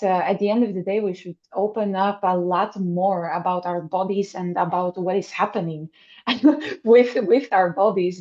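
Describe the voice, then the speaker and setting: A woman's voice: medium pitch, mellow. Eager young woman hosting, muffled audio. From Bulgaria. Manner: enthusiastic, many fillers, laughs often. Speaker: podcast host. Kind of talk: casual conversational interview.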